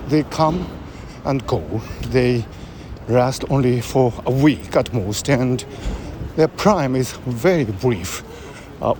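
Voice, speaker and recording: A man with a Japanese accent, hosting his own show and talking through ideas in a halting way, with many pauses and fillers. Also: mid-range voice. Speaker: host. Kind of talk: casual spoken commentary. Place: outdoors in a city. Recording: outdoor street noise, traffic.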